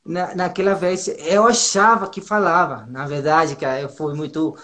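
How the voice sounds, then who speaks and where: A man's voice: a little high, steady tone, fairly bright tone. A man from northern Mexico, a native Spanish speaker with a Mexican accent, talks casually as an interview guest, nervous and hesitant, often repeating himself. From Monterrey, northern Mexico. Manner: hesitant, halting, with frequent repetitions and slangy tags. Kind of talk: casual informal chat.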